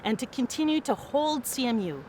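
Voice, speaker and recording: A woman's voice: high voice, very animated, normal speed, mellow, slight background noise. Earnest woman making a donation appeal. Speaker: fundraising speaker. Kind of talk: prepared speech.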